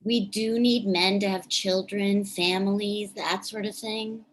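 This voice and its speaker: A woman's voice: medium pitch, fairly even intonation, measured pace, close to an everyday voice. A woman playing a bitter, exasperated, sweary activist in a live staged play reading. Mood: scornful. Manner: sweary, biting.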